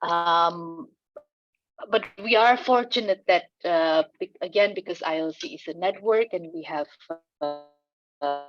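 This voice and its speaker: Indonesian woman with a lowish voice, hesitant. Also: lo-fi sound, soft background music. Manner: halting, many fillers and restarts, light laughs. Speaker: panelist. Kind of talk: conference panel answer.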